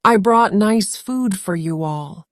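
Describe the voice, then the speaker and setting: A woman's voice: medium pitch, lively intonation, slow pace, dry recording, studio quality. A woman voicing a cunning male wolf pretending to be the mother goat, coaxing and falsely sweet.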